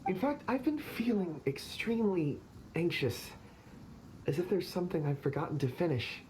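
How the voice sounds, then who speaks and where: A man's voice: high-pitched, very animated, normal speed, darkish tone, slight background noise, rough recording. A man voicing a polite, anxious, forgetful character in a video game, hesitant and apologetic. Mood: uneasy.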